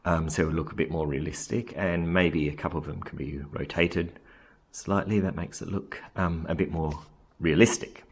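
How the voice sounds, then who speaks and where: A man casually narrating a software screen recording, thinking aloud with frequent ums and self-corrections. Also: deep voice, fairly even intonation, normal speed, husky. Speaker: software tutorial presenter.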